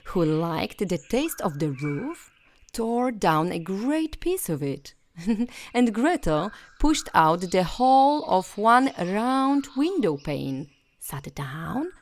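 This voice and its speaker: A woman narrating a bedtime fairy tale as a storyteller, in a gentle, soothing and expressive way. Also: fairly low pitch, slow, gravelly, slight background noise.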